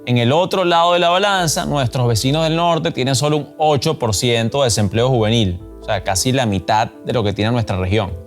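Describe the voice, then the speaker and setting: A man's voice: medium pitch, brisk, hardly any pauses, faint music behind. A man presenting a scripted explainer, narrating arguments and facts as the show's host.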